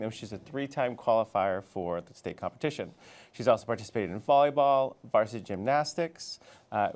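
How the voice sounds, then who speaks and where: A man's voice: fairly low pitch, darkish tone. A middle-aged man, a school dean, introducing and interviewing a student in a friendly, loose way with many fillers.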